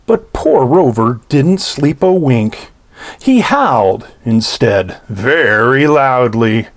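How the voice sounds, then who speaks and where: A man's voice: medium pitch, big pitch swings, slow pace. A man reading a children's storybook aloud in a theatrical, playful way, drawing out his phrases.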